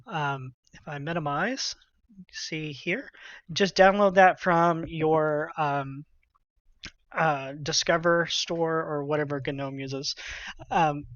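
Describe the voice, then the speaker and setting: A man narrating a software tutorial in an informal, conversational, slangy way. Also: on the high side, fairly even intonation, slow pace, dry recording, highs cut off. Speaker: tutorial presenter.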